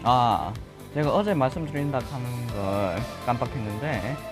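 Excitable man with a regional accent, game music behind. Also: medium pitch, measured pace. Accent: regional Korean dialect. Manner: casual, bursts into loud excited shouts at the game. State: slightly husky from just waking up. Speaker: gaming channel host. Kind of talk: live gameplay commentary.

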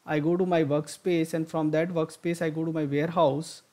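A man narrating a screen-recorded software tutorial, thinking aloud with frequent fillers and restarts as he clicks through steps. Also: fairly high pitch, level tone, moderate pace. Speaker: software instructor.

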